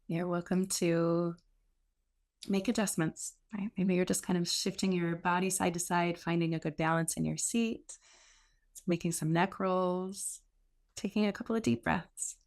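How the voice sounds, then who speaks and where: A woman leading a guided meditation as a teacher, speaking in an unhurried, gently instructive way with pauses. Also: lowish voice, fairly even intonation.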